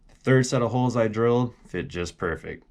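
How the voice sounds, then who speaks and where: A man's voice: mid-range voice, a little fast, darkish tone. A man casually walking through a do-it-yourself project in a plainspoken, explanatory way. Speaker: DIY car vlog host. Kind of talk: casual project walkthrough with voice-over.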